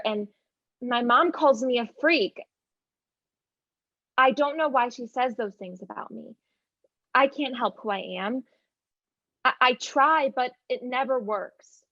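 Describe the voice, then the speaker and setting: Young woman as an anxious, stammering girl, high voice. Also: fairly bright tone, no echo, muffled recording. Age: young adult. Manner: stammering, insistent, nervous. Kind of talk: student one-act stage play acting.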